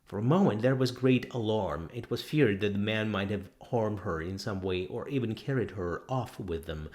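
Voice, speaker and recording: A man's voice: fairly low pitch, moderate pace, darkish tone. A man reading a novel aloud as scripted dramatic narration. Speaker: audiobook narrator.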